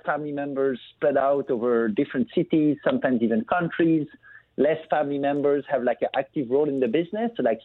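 A man's voice: on the high side, no echo. A man from Belgium speaking as a phone-in interview guest, explaining things conversationally with many filler phrases. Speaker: phone guest, family business entrepreneur. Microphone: phone call. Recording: muffled narrow phone line.

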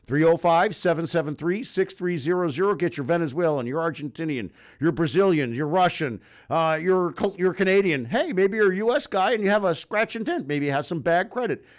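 A man hosting talk radio, pitching and interviewing in an informal, folksy, direct salesman's way. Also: a little fast, hardly any pauses. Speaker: radio host and mortgage lender.

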